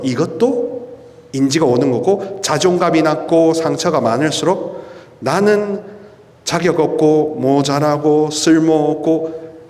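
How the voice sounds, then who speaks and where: Priest preaching in a reverberant hall. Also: male voice, a little high. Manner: lively, emphatic preaching with rhetorical questions and drawn-out stresses. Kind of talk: charismatic prayer-meeting sermon. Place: church hall at a prayer meeting. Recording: long reverb of a church hall.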